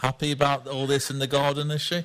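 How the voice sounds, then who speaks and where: A man's voice: mid-range voice, monotone, quick pace, fairly bright tone. A man hosting a radio talk show, interviewing a guest in a friendly, casual way with some hesitant fillers. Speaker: radio host.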